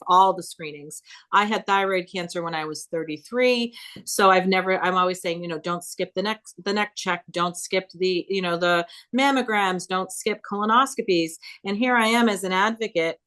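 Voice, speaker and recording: A woman in her fifties tells her own story to a public meeting. Her voice sits a little low, and she talks in an earnest, conversational way, often slipping into quoted dialogue. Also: fairly bright tone. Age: 50s. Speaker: guest speaker, cancer survivor. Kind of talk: personal account at a public meeting.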